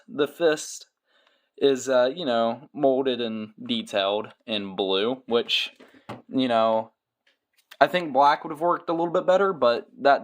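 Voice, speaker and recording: A man doing casual product review commentary as a toy reviewer, with dry, joking asides. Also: medium pitch.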